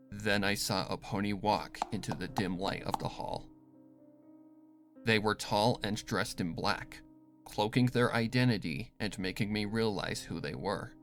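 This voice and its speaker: Slow, grave story narrator. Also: low-pitched. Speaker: story reader. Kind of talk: dramatic first-person fan fiction narration.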